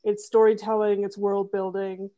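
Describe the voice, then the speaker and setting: A woman's voice: mid-range voice, flat delivery, moderate pace, mellow. A woman who makes a comedy fiction podcast, explaining her genre earnestly as an interview guest, with plenty of fillers and restarts. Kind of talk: casual interview.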